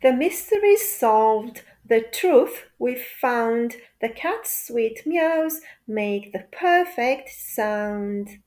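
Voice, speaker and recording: A woman with a high voice reads a rhyming picture book aloud for small children. Her delivery is very slow and deliberate, with a playful sing-song lilt suited to toddlers. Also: animated, darkish tone. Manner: playful, repetitive question-and-answer delivery for toddlers. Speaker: children's story narrator.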